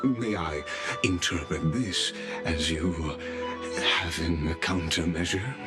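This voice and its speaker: A man's voice: low voice, slow. An actor voicing a courteous, ceremonious male envoy who speaks in a genteel, formal way and chuckles often, in a game cutscene. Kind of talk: studio game voice acting. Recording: game cutscene with music behind.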